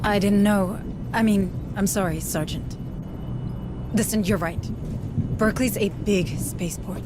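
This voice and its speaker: An actress playing a determined, principled colonial administrator in a video game, earnest and argumentative. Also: female voice, mid-range voice, unhurried, over music, lightly acted. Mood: urgent, insistent. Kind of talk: video game cutscene acting.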